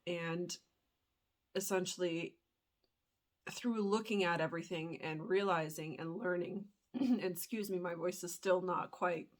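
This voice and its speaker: A young adult woman with a stuffed-up voice from a cold, talking earnestly to camera in a reflective vlog with many pauses and fillers. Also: lowish voice. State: congested from a cold. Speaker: spiritual YouTuber.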